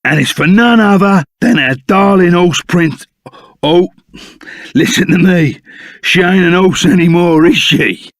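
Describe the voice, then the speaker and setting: An actor playing a rough, loyal, excitable henchman in a video game, with a gravelly voice, a Cockney accent and blunt, chummy, slangy talk. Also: high voice, measured pace, no room sound, clean studio sound. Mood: chummy, casual. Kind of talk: video game character voice acting.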